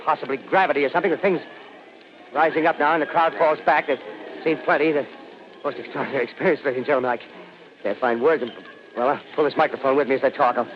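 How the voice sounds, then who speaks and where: An actor playing a radio field reporter witnessing a monster, talking in halting, breathless bursts that climb to panicked shouting, in a 1930s radio play. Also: male voice, medium pitch, fairly even intonation, lightly acted. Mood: awed, horrified. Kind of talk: 1930s radio drama acting. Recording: dull old radio broadcast with hiss.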